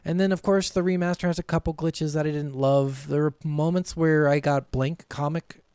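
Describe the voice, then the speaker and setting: A man's voice: a little high, steady tone, normal speed, darkish tone, smooth, dry recording, studio quality. A man chatting casually as a video game podcast host, emphatic and swearing freely. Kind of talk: casual chat.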